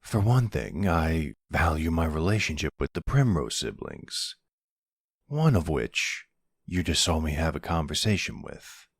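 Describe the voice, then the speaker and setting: A man's voice: extremely low pitch, very animated, measured pace, vocal fry, slightly rough, dry recording, exaggerated voice. A man playing a flirty, sardonic male vampire in an ASMR roleplay drama, teasing his rival with smug, dry sarcasm. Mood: sardonic, cold.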